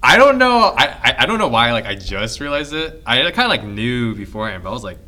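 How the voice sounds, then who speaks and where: A man's voice: medium pitch, sing-song, fast, pushed and bright. A young man telling rambling stories to friends in a casual podcast chat, full of fillers. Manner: rambling storyteller, many fillers. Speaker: podcast co-host.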